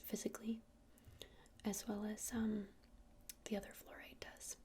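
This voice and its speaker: A woman's voice: mid-range voice, monotone, warm tone, lightly acted. Woman playing a whispering, soothing witch shopkeeper. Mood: soothing, unhurried. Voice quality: breathy whisper. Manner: unhurried, hesitant, soothing explaining. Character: gentle witch shopkeeper showing crystals to a customer. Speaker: ASMR roleplay performer. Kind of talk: whispered ASMR roleplay.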